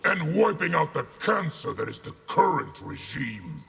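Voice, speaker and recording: An actor playing a fierce otherworldly general in a video game, with a gravelly voice and a scornful, menacing delivery. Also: male voice, on the high side, big pitch swings, unhurried, noisy background, exaggerated voice. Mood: angry threatening. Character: brutal, fierce male otherworldly warlord general, contemptuous. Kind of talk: video game villain banter.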